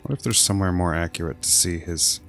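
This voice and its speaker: Man, very deep voice, casual game stream commentary, rambling thoughts, faint background music. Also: fast, fairly bright tone. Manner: rambling, thinking aloud. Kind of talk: casual live gameplay commentary.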